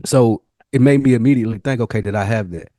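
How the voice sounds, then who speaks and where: A man's voice: medium pitch, fast, mellow, no echo, clean studio sound. A man with an American accent speaking candidly in slangy, sometimes profane, reflective podcast conversation. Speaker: podcast co-host. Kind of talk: casual reflective podcast chat.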